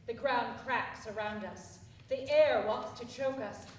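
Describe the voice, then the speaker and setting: A woman's voice: fairly high pitch, a little slow, natural voice. A woman on stage playing a stern, commanding, devout commune member in a declamatory, admonishing manner. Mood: solemn. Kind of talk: live stage play acting, choral declamation. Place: indoor theatre stage, recorded at a distance. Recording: very echoey theatre hall, far off, faint noise.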